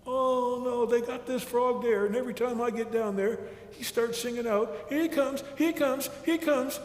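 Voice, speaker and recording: A man's voice: very high voice, normal speed, voice pushed up. A man voicing a hapless, gullible raccoon in a folktale, with plaintive, folksy rural phrasing. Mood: whining complaint. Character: hapless, worried male raccoon, whining and gullible. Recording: echoey hall with long reverb.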